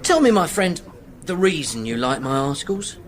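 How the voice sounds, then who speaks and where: An actor playing an abrasive, mocking British journalist who needles and goads in a radio drama. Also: male voice, a little high, sing-song, moderate pace. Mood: sour, mocking. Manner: mocking, goading, rhetorical.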